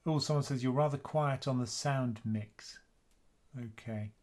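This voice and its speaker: Soft-spoken British man on a webcam. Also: mid-range voice, measured pace. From United Kingdom. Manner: casual, chatty, soft-spoken. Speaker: investing channel host. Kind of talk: live Q&A stream. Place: small home room with bookshelf.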